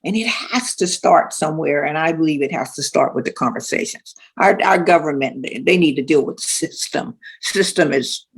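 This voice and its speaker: A woman's voice: sits low, normal speed, few pauses, slightly rough. A woman speaking earnestly as a panelist, repeating phrases for emphasis.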